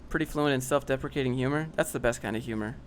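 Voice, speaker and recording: A man's voice: medium pitch, fast, slight background noise. A young man casually reading and answering chat on a livestream, dry and sarcastic, often rambling.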